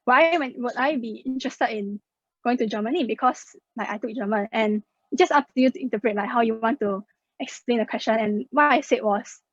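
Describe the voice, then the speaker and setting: A young woman talks her listeners through a slide presentation in a chatty, casual way. Her voice sits a little high. The sound is dull and very dry, with no room in it. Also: fairly quick, dry recording. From Singapore. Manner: chatty, many fillers like "uh" and "like". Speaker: scholarship student sharing her experience.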